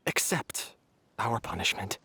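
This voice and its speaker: Game role: resigned, remorseful young man, husky, expressive pitch swings, solemn, halting. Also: heavily acted. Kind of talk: video game voice acting.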